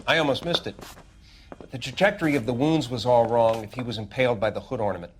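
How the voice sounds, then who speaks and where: A man playing a dry, middle-aged coroner in a video game drama, speaking in a clinical deadpan. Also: medium pitch, fast talker, slight background noise, natural voice, rough recording.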